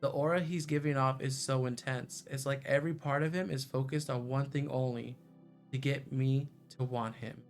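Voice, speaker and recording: A man's voice: medium pitch, fairly even intonation, moderate pace. A man streaming a visual novel, reading its narration aloud and reacting live. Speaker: game streamer. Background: game music.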